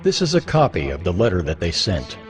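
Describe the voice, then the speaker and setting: A man's voice: low-pitched, huge pitch swings, a little fast. A man narrating scripture from a script as part of a dramatized reading. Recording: music behind the voice.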